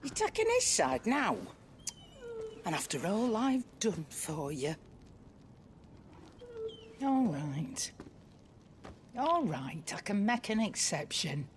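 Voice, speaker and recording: A woman's voice: medium pitch, wildly swooping pitch, takes it slowly, long pauses, a little hiss or hum. A woman playing a brusque, grumbling middle-aged storekeeper with a British accent in a video game, tart and colloquial. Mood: grudging. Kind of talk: cinematic video game acting.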